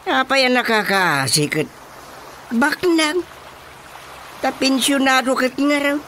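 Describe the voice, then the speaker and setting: A man's voice: extremely high pitch, animated, takes it slowly, bright, forceful tone, slight background noise, heavily acted. A man with an Ilocano accent playing a jolly older village man welcoming a guest in a radio drama. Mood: joking. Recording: radio drama with music underneath.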